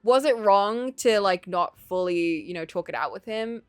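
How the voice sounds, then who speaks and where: A woman's voice: mid-range voice, normal speed, clean tone, no room sound, soft background music. A woman streaming casual, opinionated commentary on a story game, thinking aloud in a conversational way.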